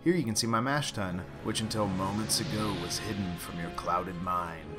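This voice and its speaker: Low-pitched man, casual home-brew host. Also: moderate pace, over loud music. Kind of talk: casual scripted narration and relaxed tasting chat.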